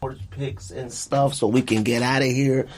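A man hosting a podcast in casual, slangy chat, talking in an animated way and repeating himself for emphasis. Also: mid-range voice, moderate pace, slight background noise. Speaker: podcast host. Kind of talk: casual chat, friendly debate.

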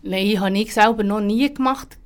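A woman interpreter, speaking casually in quick, eager bursts with restarts and repeated words. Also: medium pitch, smooth. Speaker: sign language interpreter. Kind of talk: casual chat.

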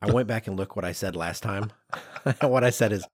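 A middle-aged man co-hosting a podcast in a conversational, animated and emphatic way, with some self-deprecation. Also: on the low side, moderate pace, no echo, studio quality. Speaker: podcast co-host.

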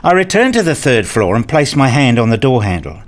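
A man's voice: mid-range voice, sing-song, brisk, close to an everyday voice. An actor playing a weary, irritable academic who narrates his own day in a radio play, with a dry, sardonic manner. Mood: sheepish. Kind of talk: scripted first-person narration, radio play.

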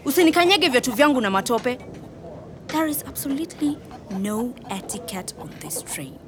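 A woman's voice: sits high, sing-song, normal speed, a bit bright. A woman with a Kenyan accent playing a fussy, indignant train passenger who scolds and complains. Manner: complaining, scolding, mixes English and Swahili. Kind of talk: radio play acting.

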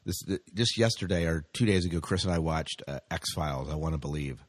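Guy with a low voice joking around on a podcast, really dry sound, chatty. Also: fairly quick, no room sound. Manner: joking, bantering, excitable. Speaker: podcast co-host. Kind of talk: casual podcast chat.

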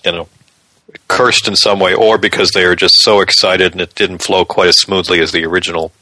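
A man's voice: low voice, flat delivery, a little fast, bright, forceful tone, no echo, rough recording. A man co-hosting a podcast, explaining things in a casual, conversational style. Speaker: podcast co-host.

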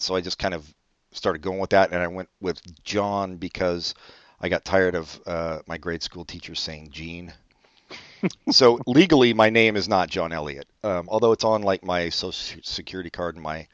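Deep-voiced male co-host, dry and rambling, muffled dry recording. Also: a bit bright, smooth, no room sound. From born in Brazil, raised in California. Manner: dry, rambling, swears casually. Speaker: podcast co-host. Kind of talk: casual comedic chat. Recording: dull dry lo-fi audio.

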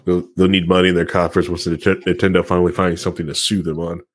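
A man's voice: low voice, flat delivery, quick pace, a little hiss or hum. A man hosting a podcast, chatting casually about video games. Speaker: podcast host.

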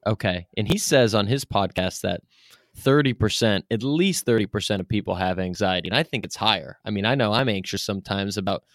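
A man's voice: fairly low pitch, brisk, dry recording, studio quality. A man hosting an interview podcast in casual chat, with informal, loosely phrased questions that meander.

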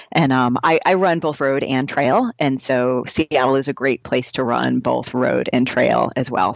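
A woman's voice: a bass voice, no room sound, highs cut off. A woman in her forties from New Hampshire with an American accent, speaking as a guest in a casual, enthusiastic and emphatic conversational style. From New Hampshire, United States. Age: 40s. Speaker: podcast guest, amateur runner.

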